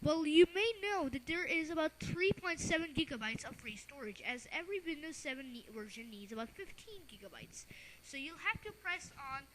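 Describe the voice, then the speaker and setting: High-pitched, halting tutorial presenter. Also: expressive, a bit bright, slight background noise, poor audio. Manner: halting, with stumbles and self-corrections. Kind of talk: informal narrated software lesson.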